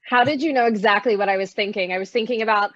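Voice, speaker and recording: A woman's voice: mid-range voice, quick pace, fairly bright tone, no room sound. A woman moderating a casual conversation in a playful, chatty way, heard through lo-fi call audio.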